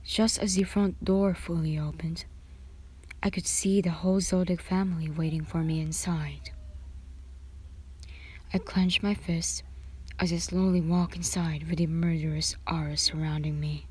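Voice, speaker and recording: Slow, breathy woman reading a story. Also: lowish voice, little pitch movement, darkish tone. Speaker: narrator reading own fan fiction. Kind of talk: scripted narration, ASMR story reading.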